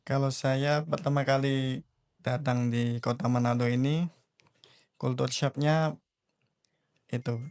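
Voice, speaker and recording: Flat-voiced young Javanese-accented man. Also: medium pitch, slow, pauses often, clean tone, no echo, studio quality. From Java. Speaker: new civil servant guest. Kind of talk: casual interview answers.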